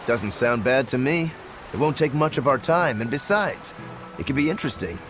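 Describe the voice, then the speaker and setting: A man's voice: mid-range voice, lively intonation, moderate pace, close to an everyday voice. An actor playing a laid-back male adventurer in a game, wry and easygoing. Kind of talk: animated game voice acting. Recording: music behind the voice.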